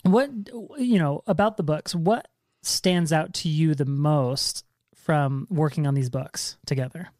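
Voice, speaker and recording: A man's voice: on the high side, measured pace, darkish tone, no room sound. Guy hosting a podcast, jokey and playful, dry close sound. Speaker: podcast host. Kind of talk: casual podcast chat. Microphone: handheld recorder.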